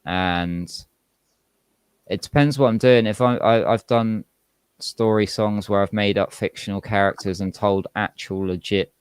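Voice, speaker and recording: A man's voice: lowish voice, steady tone, unhurried, clear, resonant tone, dry recording. A man with a British accent and a slight American twang, chatting casually and reflectively as an interview guest. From England, UK. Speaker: rapper, podcast guest.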